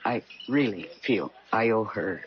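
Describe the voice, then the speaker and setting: A woman's voice: extremely low pitch, expressive, measured pace. An actress playing a determined but conflicted young working woman in a 1970s radio drama, earnest, hesitant and dramatic. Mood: conflicted, pained. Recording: muffled old radio drama.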